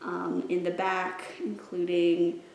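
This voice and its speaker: A woman's voice: lowish voice, completely flat delivery, takes it slowly, vocal fry, a little mellow. Echoey, upbeat woman chatting to viewers. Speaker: children's library storytime host. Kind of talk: chatty vlog, talking to viewers. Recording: roomy, echoey indoor sound.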